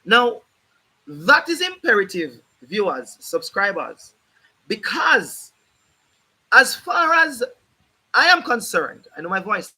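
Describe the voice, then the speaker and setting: Hoarse guy lecturing his stream viewers, slow and emphatic, bright voice. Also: high-pitched, very animated, rough recording. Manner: emphatic, repetitive, lecturing, addresses viewers directly. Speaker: host of a legal rights livestream. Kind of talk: livestreamed legal commentary.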